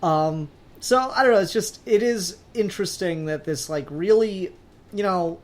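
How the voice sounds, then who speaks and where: A man's voice: sits high, a little slow. A man hosting a podcast in casual, rambling conversation with a joking manner. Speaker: podcast host.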